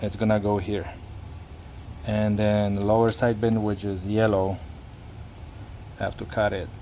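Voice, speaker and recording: A man narrating a casual hands-on repair tutorial, speaking haltingly and repeating words as he works. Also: lowish voice, level tone, warm tone, slight background noise. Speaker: hobbyist demonstrating a radio repair.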